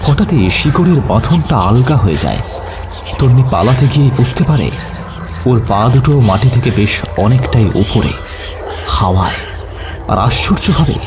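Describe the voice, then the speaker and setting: A man's voice: medium pitch, background noise. A man narrating a scripted horror story with suspenseful, dramatic phrasing. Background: music.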